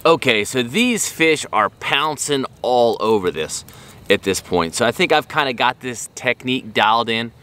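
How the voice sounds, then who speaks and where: A man's voice: mid-range voice, lively intonation, normal speed, sharp tone, clear, resonant tone. A middle-aged man hosting a fishing vlog in casual, enthusiastic, folksy talk, recorded out on an open boat. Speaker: fishing show host.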